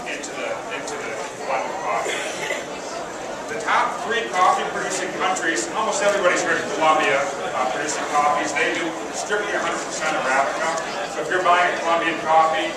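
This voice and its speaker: A man with a Wisconsin accent giving an informal, plain-spoken product presentation to an audience as a company representative. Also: a little high. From Wisconsin, United States. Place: large echoey room at a trade food show. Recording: echoey hall, distant mic, crowd noise. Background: crowd chatter.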